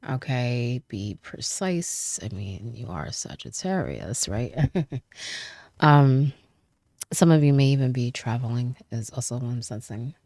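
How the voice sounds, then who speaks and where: Very deep-voiced woman, stuffy from a cold. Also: lively intonation, warm tone, dry recording, studio quality. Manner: chatty, laughs often, many fillers and trailing pauses. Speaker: tarot reader. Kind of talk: casual tarot reading to camera.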